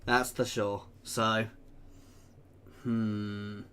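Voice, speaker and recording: A man's voice: fairly low pitch, level tone, very slow, a bit bright, clear, resonant tone. A man giving casual commentary while playing a strategy game, thinking aloud and chatting with viewers. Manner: chatty, thinking aloud, reads out chat messages. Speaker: gameplay video creator.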